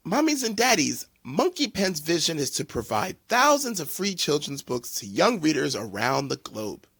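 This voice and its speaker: A man reading a children's storybook aloud in a deliberate, theatrical way for young listeners. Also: fairly high pitch, gravelly, pushed and bright, slightly rough, no echo. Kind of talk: animated storybook narration.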